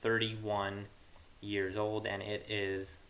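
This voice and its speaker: A man's voice: on the low side, monotone, slow pace, fairly bright tone. A young man giving a casual coding tutorial, informally thinking aloud as he works. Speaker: programming tutorial host. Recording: hissy mic with keyboard clatter. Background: computer keyboard typing, hiss.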